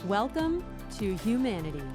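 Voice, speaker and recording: A woman reading a scripted podcast intro in a slow, measured, uplifting way. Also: medium pitch, very animated. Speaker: show announcer. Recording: voice over background music.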